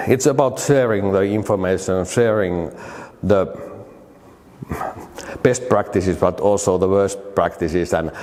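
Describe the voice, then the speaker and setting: Casting: middle-aged Finnish man, deep low voice, Finnish accent, hesitant repeats, long reverb of a hall. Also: darkish tone. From Finland. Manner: halting, many repeated words and restarts. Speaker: demolition company director. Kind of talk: interview answers.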